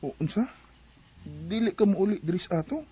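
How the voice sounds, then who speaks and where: An actor playing a young live-in boyfriend in a radio drama, speaking with a Cebuano Filipino accent. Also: male voice, high voice, a little fast, lightly acted. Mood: affectionate, concerned. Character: young live-in boyfriend, easygoing turning suspicious.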